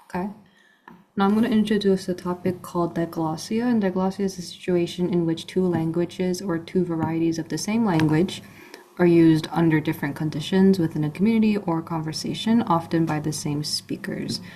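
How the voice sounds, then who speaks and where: A young woman asking prepared interview questions clearly, as if reading from a script. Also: fairly low pitch, steady tone, brisk, dark tone, slight background noise, low-quality recording. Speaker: student interviewer.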